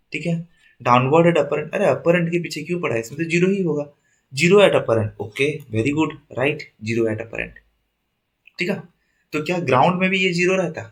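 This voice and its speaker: A young man teaching an exam-prep engineering lesson with a sore throat. He reads questions out slowly and then explains them in quick asides, often checking in with his listeners. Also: mid-range voice. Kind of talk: online exam-prep lesson, Hindi mixed with English.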